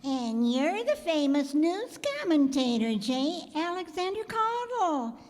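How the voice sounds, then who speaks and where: A woman's voice: sits high, very animated, slow, a little mellow, exaggerated voice. A woman playing a gracious, genteel carrot wife and mother in a comic radio play, politely hostess-like, in an echoey hall. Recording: live stage performance in echoey hall.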